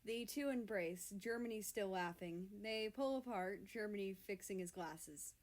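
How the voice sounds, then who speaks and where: Woman, amateur story reader, unhurried slow narration, medium pitch. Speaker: amateur fan-fiction reader.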